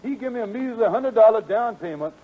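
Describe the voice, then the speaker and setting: A man's voice: high-pitched, animated, a little fast. An actor playing a blustery small-time con man in a 1940s radio comedy, folksy and cajoling. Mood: wheedling. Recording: tinny, narrow 1940s radio recording.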